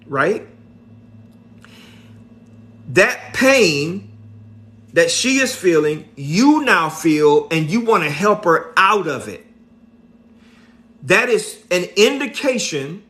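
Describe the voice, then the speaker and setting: A middle-aged man speaks on a livestream with a slightly high voice. He talks very slowly and deliberately, as a motivational speaker. He drives his points home by repeating them and asking "right?" again and again. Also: a bit bright. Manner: preachy, repeats points, asks "right?" often; slow and deliberate.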